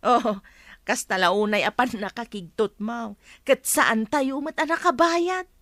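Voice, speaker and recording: A woman's voice: fairly high pitch, unhurried, fairly bright tone, dry recording, studio quality. A woman acting as an exasperated breadwinner wife and teacher in an Ilocano comedy drama, scolding, with a Filipino accent. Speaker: actress in an audio comedy drama.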